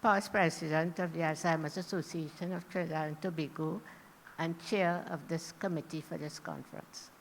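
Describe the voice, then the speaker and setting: A woman who chairs the conference committee introduces herself in a calm, unhurried way. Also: deep voice, gravelly. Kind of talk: brief self-introduction. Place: conference room. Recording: echoey hall, faint background noise.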